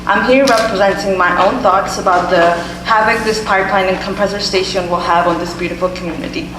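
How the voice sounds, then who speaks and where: 19 yr old woman from ethiopia reading her statement, really echoey hall, humming noise behind. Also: medium pitch. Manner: earnest, reading a statement with some stumbles. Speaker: student speaker at public hearing. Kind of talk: prepared public comment. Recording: echoey hall with background hum.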